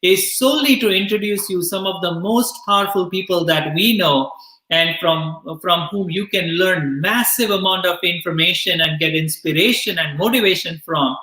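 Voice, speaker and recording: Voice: medium pitch, normal speed, sharp tone. A co-host opening an interview show, then adding enthusiastic short reactions. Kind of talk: hosting an interview. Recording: echoey room.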